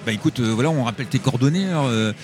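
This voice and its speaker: A man hosting a casual radio interview, halting and full of fillers. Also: medium pitch, expressive, a crowd in the background.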